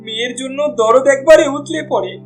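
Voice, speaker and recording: High, nagging village wife acted by a woman. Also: brisk, lightly acted. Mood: angry, nagging. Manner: nagging, sharp-tongued. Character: scolding, fed-up wife of a poor fisherman. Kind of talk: cartoon voice acting. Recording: background music under the voice.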